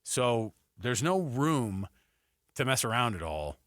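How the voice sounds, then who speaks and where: A man's voice: medium pitch, big pitch swings, slow, fairly bright tone, no echo. Male sports radio host, dry close sound. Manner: conversational, repeats phrases for emphasis. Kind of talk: casual sports talk commentary. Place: radio studio. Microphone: close studio microphone.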